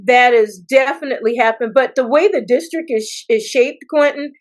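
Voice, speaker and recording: An American woman from South Carolina answering interview questions as a political candidate, speaking in deliberate phrases with pauses. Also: high voice. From South Carolina, United States. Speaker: political candidate, attorney and former judge.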